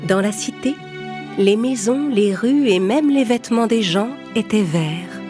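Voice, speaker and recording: A woman's voice: medium pitch, lively intonation, unhurried, music in the background. A woman reading scripted narration for a children's fairy tale as the storyteller.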